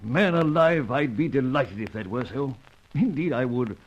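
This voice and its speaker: An actor playing an elderly, titled British gentleman with a husky voice, flustered and stammering in an old radio serial. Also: mid-range voice, expressive, normal speed, natural voice. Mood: perplexed. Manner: blustering, stammering repeats. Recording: dull, lo-fi old radio recording.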